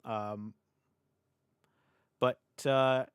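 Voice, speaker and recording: A young British man streaming live, rambling and laughing as he chats with his audience, his voice a little hoarse from hours of talking. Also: mid-range voice, steady tone, no echo, studio quality. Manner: chatty, scattered, quick to laugh. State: slightly hoarse. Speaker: VTuber streamer. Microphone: desk mic on a boom arm.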